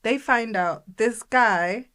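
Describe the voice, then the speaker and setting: A woman's voice: fairly high pitch, slow, a bit bright, clear, resonant tone. A young woman co-hosting a podcast, telling a story in casual chat and acting out the people she quotes. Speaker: podcast co-host.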